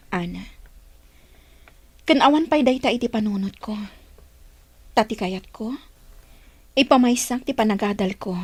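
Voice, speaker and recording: An earnest young student mother in a radio drama. Her voice is soft and breathy, close and dry, with a guarded, hesitant way of answering. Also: mid-range voice, dry recording.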